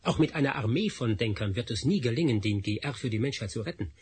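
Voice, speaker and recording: A man's voice: medium pitch, lively intonation, fast, darkish tone, husky. An actor playing a driven male scientist on the run, speaking urgently in a radio drama. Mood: defiant. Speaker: Doctor Spassky.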